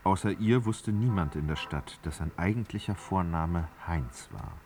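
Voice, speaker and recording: Low-pitched man narrating a radio drama. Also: normal speed, music in the background.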